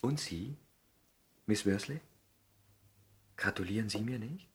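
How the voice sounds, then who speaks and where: A hopeful young clerk in a vintage radio drama. His voice is somewhat breathy and husky, and he speaks with polite, earnest eagerness. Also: fairly low pitch, slow, exaggerated voice. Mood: hushed, earnest. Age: 20s. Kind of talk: 1960s German radio play acting.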